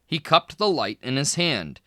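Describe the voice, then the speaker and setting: A man reading a children's fantasy book aloud, expressive and clear, with small voice shifts for the characters. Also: medium pitch, measured pace, no echo, clean studio sound. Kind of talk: read-aloud storybook narration.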